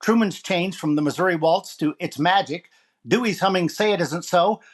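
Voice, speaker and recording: A man's voice: sits high, moderate pace. A man playing a wisecracking comedian, delivering a topical monologue in 1940s radio comedy style. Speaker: actor playing a comedian host.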